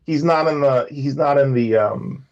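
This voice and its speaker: A man with a New York accent and a big booming voice, arguing on a livestream call, halting and restarting his sentences. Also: vocal fry, a little mellow, highs cut off. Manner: halting, restarts a lot, defensive. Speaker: livestream guest. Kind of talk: casual livestream debate.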